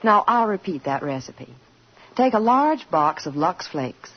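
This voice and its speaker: A woman's voice: on the low side, very animated, a little slow, slightly rough. A woman reading a scripted 1940s radio commercial, giving instructions. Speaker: commercial announcer. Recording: dull 1940s radio recording.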